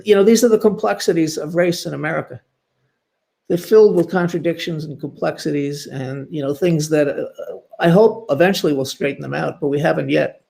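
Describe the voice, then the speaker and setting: Guy historian on a panel, voice a bit high, stop-start phrasing with punchy lists. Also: a little fast, vocal fry, a little mellow. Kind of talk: informal scholarly panel talk.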